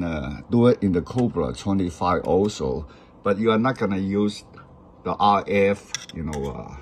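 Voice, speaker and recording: Very low-voiced man giving unhurried instructions. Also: a little hiss or hum. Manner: repeats short phrases for emphasis. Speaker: instructor demonstrating an electronics installation. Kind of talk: step-by-step hands-on tutorial, unscripted.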